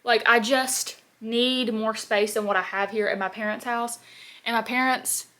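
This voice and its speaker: A woman's voice: fairly high pitch, unhurried. A woman in her twenties, a beauty vlogger, chatting casually and sassily while doing her makeup at home. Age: 20s. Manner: chatty, sassy, animated asides. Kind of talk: casual get-ready-with-me chat. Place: home, at her parents' house.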